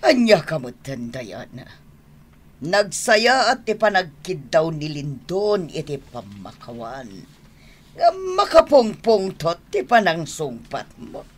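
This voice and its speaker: A middle-aged mother speaks with an Ilocano accent and a very animated pitch. She is earnest and forgiving, in dramatic radio serial style. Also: takes it slowly, full character voice. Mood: persuading.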